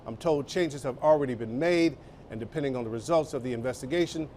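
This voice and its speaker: A man giving a measured news update on location. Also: medium pitch, dark tone. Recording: faint outdoor traffic noise.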